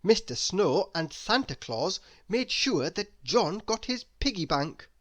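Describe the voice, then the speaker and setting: A man reading a children's story aloud in a deliberate, expressive way, leaning on key words. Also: sits high. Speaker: storybook reader.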